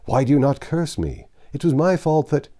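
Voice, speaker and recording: A man's voice: fairly high pitch, animated, normal speed, dark tone, pitched above the performer's own voice, close to an everyday voice. A man voicing a distraught, remorseful manservant in a storybook, pleading emotionally. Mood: anguished. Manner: emotional, exclamatory.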